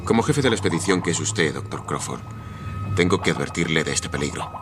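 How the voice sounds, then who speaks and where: Earnest, suspicious male expedition member: grave, low voice, suspense radio drama with audible noise. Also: expressive, brisk.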